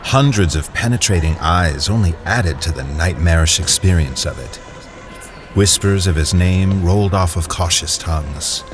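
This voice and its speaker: Casting: very deep male narrator, measured and dramatic, music bed underneath. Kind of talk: scripted audio drama narration.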